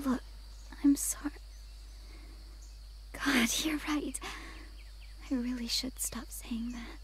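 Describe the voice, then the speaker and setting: A woman playing a troubled, apologetic, grieving teenage girl in a visual novel, speaking softly and hesitantly. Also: high voice, fairly even intonation, slow pace, a little hiss or hum. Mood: anxious, apologetic. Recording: music behind the voice.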